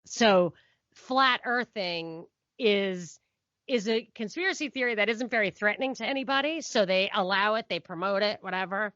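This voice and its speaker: A woman's voice: medium pitch, normal speed, fairly bright tone, no echo, muffled recording. A middle-aged woman co-hosting a podcast, chatting casually and giving her opinions. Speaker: podcast co-host.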